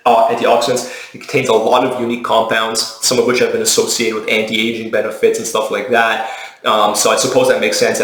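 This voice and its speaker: Man in an echoey room, even tone. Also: lowish voice. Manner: conversational, matter-of-fact, opinionated. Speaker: dietitian presenting a video. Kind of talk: casual explainer to camera. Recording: roomy, echoey indoor sound.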